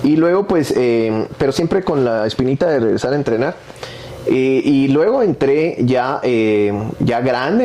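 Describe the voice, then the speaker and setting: A Guatemalan man in his forties talks casually as an interview guest. His voice is relaxed and warm, and he chats freely, often ending phrases with a tag question. Some ambient noise sits behind him. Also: medium pitch. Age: 40s. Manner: chatty, frequent tag questions. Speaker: podcast guest, MMA social media creator.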